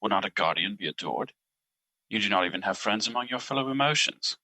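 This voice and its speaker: A man's voice: on the low side, animated, brisk, sharp tone, dry recording, exaggerated voice, low-quality recording. Piercing, judgmental, sardonic Guilt man acting. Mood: mocking. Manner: formal, accusing, sardonic. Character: Guilt, cold, judgmental, mocking male emotion personified. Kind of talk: audio drama acting.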